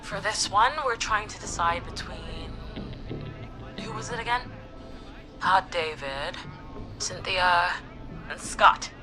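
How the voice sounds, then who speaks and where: A woman voicing a gutsy, nervy teenage girl who leads an escape plan in a video game, excitable and rallying. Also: on the low side, slow, pauses often. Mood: determined, upbeat. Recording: music behind the voice.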